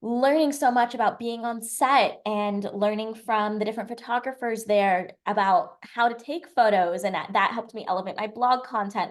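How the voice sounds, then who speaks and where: A woman in her twenties from the United States, a podcast guest telling her life story in a chatty, run-on way. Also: a little high. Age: 20s. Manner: chatty, lively storytelling with long run-on sentences. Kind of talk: casual storytelling interview.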